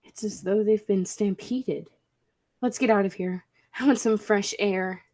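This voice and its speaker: A woman's voice: medium pitch, a little slow, mellow, dry recording. A woman playing a suspicious, hot-tempered male time traveller in a radio play, with an urgent, demanding manner. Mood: uneasy. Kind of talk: 1940s radio play acting.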